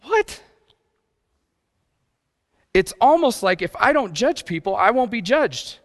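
A youth pastor preaching a sermon in a conversational way, with rhetorical questions and playful asides. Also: male voice, extremely high pitch, big pitch swings, takes it slowly. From grew up partly in Japan. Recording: long hall reverb.

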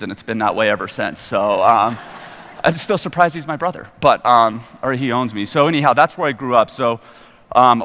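A man of forty with an American accent, giving an informal, self-deprecating personal testimony as a guest preacher in a large hall. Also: mid-range voice. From Colorado, United States. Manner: casual, self-deprecating, animated retelling of dialogue. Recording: reverberant hall.

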